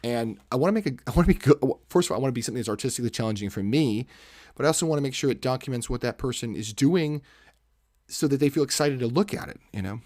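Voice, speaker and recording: A man's voice: medium pitch, lively intonation, rapid-fire, darkish tone, no room sound. A man with a commanding, salesman-like voice chats casually to viewers while sketching on a livestream, rambling and correcting himself, close on the mic. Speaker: art livestream host. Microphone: microphone close to the face.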